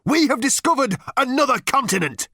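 A man playing a zealous, self-important military second-in-command, loud and barking, in an audiobook. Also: high-pitched, animated, slow pace, no echo, studio quality, voice pushed up, heavily acted. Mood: portentous.

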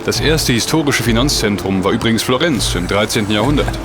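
A man's voice: fairly low pitch, animated, brisk, noisy background, close to an everyday voice. An actor playing a well-read, sceptical young man who explains facts at length and distrusts the rich. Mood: explaining.

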